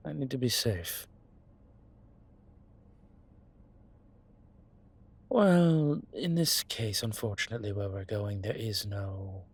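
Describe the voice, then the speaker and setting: A man playing a courteous, wry vampire with formal, hesitant phrasing and a husky voice in an audio roleplay. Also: on the low side. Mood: defensive, wry. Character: courteous, wry adult male vampire wary of daylight. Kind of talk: one-sided audio roleplay acting.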